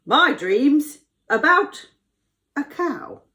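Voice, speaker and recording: A woman's voice: high voice, sing-song, drawn-out words, sharp tone, voice pushed up, heavily acted. A woman voicing a commanding but troubled male ruler in a declamatory, theatrical storybook style. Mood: bemused. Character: commanding, troubled male Egyptian ruler telling his dreams.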